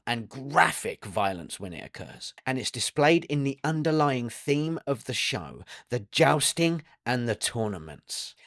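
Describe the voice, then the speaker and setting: A man's voice: medium pitch, a bit bright, no room sound, clean studio sound. A man delivering a scripted video essay with an earnest, emphatic storytelling manner. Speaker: video essay narrator and reviewer. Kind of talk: scripted dramatic commentary.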